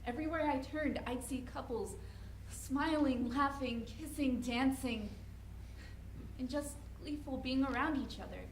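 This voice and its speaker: A woman's voice: high voice, measured pace. A woman playing a naive, insecure seventeen-year-old girl in a reader's theater stage play. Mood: wistful, reflective. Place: indoor event room at a college presentation. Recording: distant echoey room, noisy live recording.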